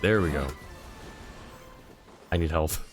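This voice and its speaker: A man's voice: low voice, slow pace. A man streaming a video game, giving casual commentary as he plays. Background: game music.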